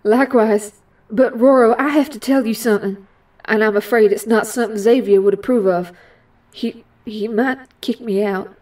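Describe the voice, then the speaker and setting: A woman's voice: a little high, steady tone, normal speed, darkish tone, distant mic, lightly acted. An actress playing an emotional young female superhero in an audio drama, with a folksy, heartfelt manner. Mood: worried.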